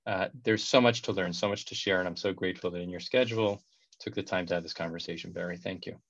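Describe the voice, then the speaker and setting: A man in his thirties hosting an online conversation, warm and effusive, repeating words as he builds his sentences. His voice sits low and reaches the ear dry and a little muffled through a headset on a video call. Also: fairly even intonation, fast, no echo. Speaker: host.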